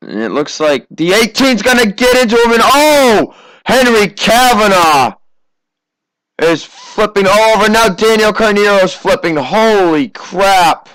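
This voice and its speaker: A teenage American man from Massachusetts giving casual, conversational amateur racing commentary, his voice slightly hoarse from a cough. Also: sits high, lively intonation, a little slow, a bit bright, no room sound, low-quality recording. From Massachusetts, United States. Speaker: race commentator.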